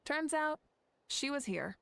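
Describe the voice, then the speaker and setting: Composed, higher-voiced young female leader. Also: big pitch swings, slow, creaky, fairly bright tone, dry recording, heavily acted. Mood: matter-of-fact. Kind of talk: video game character acting. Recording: faint music behind the voice.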